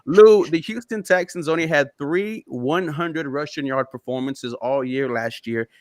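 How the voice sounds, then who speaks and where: An excited man talking football on a podcast, rattling off players and stats in a steady stream. His voice sits a little high for a man. The sound is close and dry, with no room in it. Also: dry recording. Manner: excited, fast-flowing football analysis. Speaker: podcast guest. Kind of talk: casual sports podcast chat.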